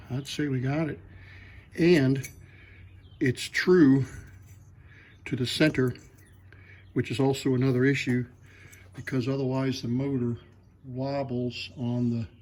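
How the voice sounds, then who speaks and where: A man hosting a hands-on repair demonstration in his workshop, talking to himself in an unhurried way as he works. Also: medium pitch, level tone, darkish tone. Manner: unhurried, talks to himself while working. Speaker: host. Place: workshop with a lathe.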